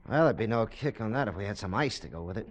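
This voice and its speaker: A man's voice: lowish voice, lively intonation, fast. An actor playing a folksy, needling American plantation man in an old radio drama, colloquial in speech. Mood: teasing. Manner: colloquial, teasing. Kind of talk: 1940s-50s radio drama acting.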